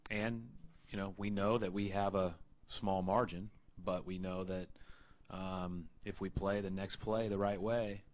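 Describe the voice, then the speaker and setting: A young man speaking as head coach at a postgame press conference into a table mic, measured and full of fillers and restarts. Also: sits low. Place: arena press room.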